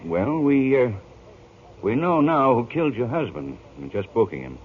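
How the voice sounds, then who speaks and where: An actor playing a composed, probing police inspector, polite but skeptical and authoritative, in 1940s radio noir style. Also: male voice, mid-range voice, very animated, moderate pace, close to an everyday voice. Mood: polite, businesslike. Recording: muffled, noisy old radio broadcast.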